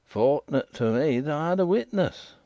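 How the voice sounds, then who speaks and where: A man puts on a shifty waterside informer who whines and insists, his voice jumping high with indignation. The sound is dry and close. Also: animated, moderate pace, mellow, no room sound, highs cut off. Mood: insistent. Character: shifty, whining riverside informer, middle-aged man.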